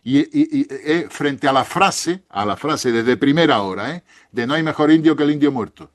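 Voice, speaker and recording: A man's voice: fairly high pitch, lively intonation, moderate pace. A man with a Spain Spanish accent, a guest author arguing points on history emphatically in an interview, often restarting his phrases.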